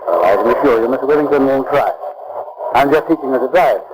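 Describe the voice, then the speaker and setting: An actor playing a polite, indignant gentleman being robbed in an old-time radio crime drama, protesting in a proper manner. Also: mid-range voice, fast, close to an everyday voice, poor audio. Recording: vintage radio broadcast recording.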